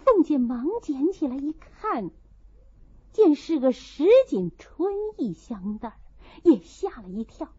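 A woman reading a classic novel aloud as an expressive audiobook narrator. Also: high-pitched, a little slow, husky, muffled recording. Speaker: audiobook reader.

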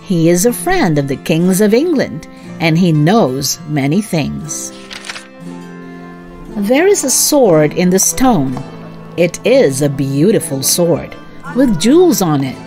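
A woman narrates a children's read-aloud story. She is unhurried and very animated in pitch, phrasing things simply and deliberately, with music clearly behind her.

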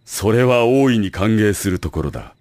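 An actor playing a scheming, arrogant academy director in an anime audio drama, speaking in a smug, measured, formal way. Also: male voice, fairly low pitch, animated, a little mellow, no echo, natural voice.

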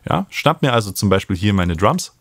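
A man, explaining music software as an instructor in a tutorial. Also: on the low side, very animated, a little fast, dry recording, clean studio sound. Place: small home studio, indoors. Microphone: studio microphone up close.

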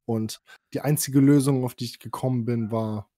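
A man chatting casually on a podcast, excitable and crude, swearing and mixing in English slang. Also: mid-range voice, normal speed, dry recording, clean studio sound. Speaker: podcast host. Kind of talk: casual chat.

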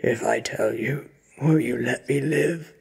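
Someone voicing a stammering, frightened henchman, husky and strained. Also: medium pitch, sing-song, full character voice. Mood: nervous, pained. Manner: stuttering, halting, strained. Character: frightened, injured henchman named Slim, stammering and gasping.

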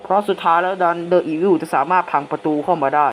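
A man playing a solemn government announcer, formally reading a measured emergency warning for an analog horror broadcast. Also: high-pitched, fairly bright tone. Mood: solemn, official. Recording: clearly noisy hissing background.

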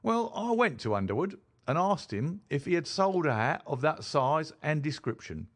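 Man playing a boastful, self-important detective, lively delivery. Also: a little high, normal speed, pitched above the performer's own voice. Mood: proud, eager. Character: boastful, self-satisfied male police detective recounting his case. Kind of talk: dramatised audiobook reading.

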